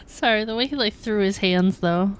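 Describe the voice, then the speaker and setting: A woman game streamer giving casual live reaction commentary in an expressive, chatty, informal way. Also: mid-range voice, normal speed, slight background noise.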